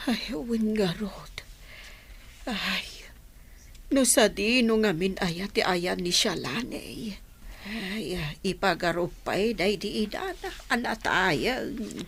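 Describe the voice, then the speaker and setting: A woman's voice: medium pitch, lively intonation, a bit bright, husky, heavily acted. A woman playing a frail, sorrowful old mother in a sentimental audio drama, speaking in a weary, resigned way. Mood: sad, subdued. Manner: slow, drawn-out, weary delivery. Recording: music bed behind the voice.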